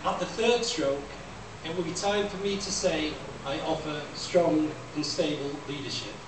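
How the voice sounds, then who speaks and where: A man gives a measured, declamatory live reading of a poem to a room. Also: a little high. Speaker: poet. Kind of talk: live poetry reading, repetitive deadpan recitation. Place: small library room with audience. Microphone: microphone on stand, captured by distant camera. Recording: echoey room from far off, noisy.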